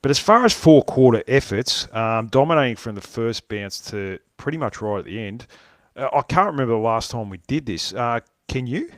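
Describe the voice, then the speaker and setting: A man's voice: mid-range voice, lively intonation, normal speed, no echo. An Australian man hosting a football podcast, introducing the episode and chatting with his co-host. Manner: upbeat host, structured intro. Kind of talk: casual podcast chat.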